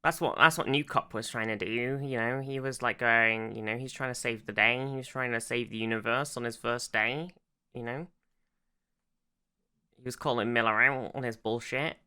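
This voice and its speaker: Clear, bright-voiced podcast co-host. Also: mid-range voice. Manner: halting, circling explanations with frequent swearing. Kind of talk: casual podcast discussion.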